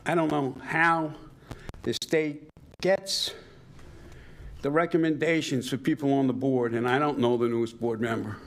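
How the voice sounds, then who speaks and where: Gravelly man giving pointed public comment. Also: mid-range voice, steady tone. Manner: pointed, critical, measured. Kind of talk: public comment at a board meeting.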